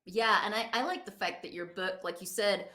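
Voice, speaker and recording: A woman's voice: medium pitch, moderate pace, pushed and bright. A woman hosting a casual conversational interview about books, chatting as a fellow author. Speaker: podcast host, author.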